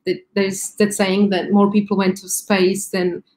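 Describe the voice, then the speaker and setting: A woman's voice: medium pitch, level tone, unhurried, darkish tone, poor audio. A woman from Slovakia with a Slovak accent, a friendly guest chatting on a video call. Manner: friendly, explaining with many "like" fillers. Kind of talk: casual interview chat. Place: home, video call on webcam.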